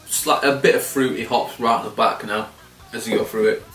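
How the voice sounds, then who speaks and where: A man in his thirties casually reviewing a beer to camera, relaxed and swearing freely with frequent chuckles. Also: fairly low pitch, a little fast. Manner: laid-back, swears casually, chuckles often. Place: small indoor room by window blinds. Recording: roomy and slightly distant.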